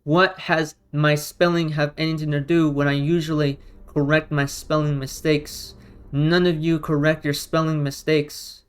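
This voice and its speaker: A man with a fairly high, clean, smooth voice gives combative online commentary. He is a non-native speaker with a Romanian or Russian first language. He sounds exasperated and presses his points with emphatic rhetorical questions. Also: flat delivery, a little slow. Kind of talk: argumentative response commentary.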